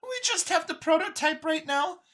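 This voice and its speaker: A woman's voice: extremely high pitch, expressive, normal speed, a bit bright, pitched above the performer's own voice. A woman voicing a nervous, earnest female alien mouse scientist in an audiobook, with a flustered, eager way of talking. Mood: flustered, defensive. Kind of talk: acted audiobook character.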